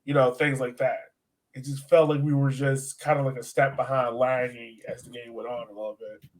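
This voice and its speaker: Animated man chatting casually about sport. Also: medium pitch, normal speed. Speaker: co-host of a soccer fan podcast. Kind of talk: casual sports chat, match analysis.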